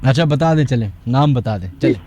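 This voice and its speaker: Mellow Pakistani man hosting late-night radio. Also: medium pitch, lively intonation, fairly quick. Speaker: radio show host. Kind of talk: late-night radio horror show hosting, talking to listeners and callers. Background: background music.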